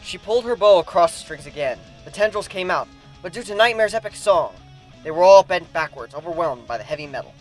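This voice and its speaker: A man's voice: high voice, animated, brisk, poor audio. A man reading a first-person fantasy story aloud as an amateur dramatic narrator. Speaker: amateur fan fiction reader. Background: background music.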